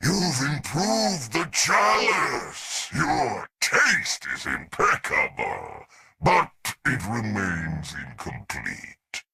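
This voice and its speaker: A man playing a grandiose, indulgent, scheming alien emperor in a video game, with a deep, raspy voice and pompous, theatrical delivery that savors each word. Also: pushed and bright, heavily acted. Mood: grand, gloating. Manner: pompous, theatrical, savoring words with big swells. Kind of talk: scripted video game dialogue.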